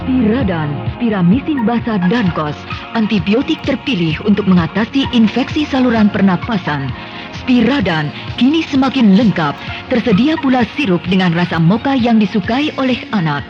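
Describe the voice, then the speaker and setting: A woman's voice: mid-range voice, lively intonation, moderate pace. A woman reading a scripted radio commercial as the announcer. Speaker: advertisement announcer. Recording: dull radio recording, clear music behind.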